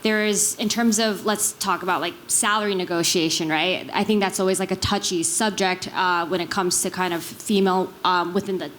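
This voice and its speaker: A woman's voice: on the low side, fairly even intonation, brisk, few pauses, a bit bright, a little hiss or hum. A woman from Taiwan speaking casually and conversationally as a panelist, often checking in with the listener. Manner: conversational, frequent check-in tags like "right". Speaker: panelist, business executive.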